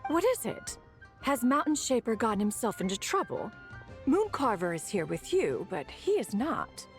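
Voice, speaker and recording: A haughty female adeptus from a fantasy game. Her voice is fairly high and lively in pitch, with formal, old-fashioned diction over faint music. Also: normal speed. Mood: curious, concerned. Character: haughty, learned female immortal adeptus, sharp-tongued. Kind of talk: theatrical fantasy game voice acting.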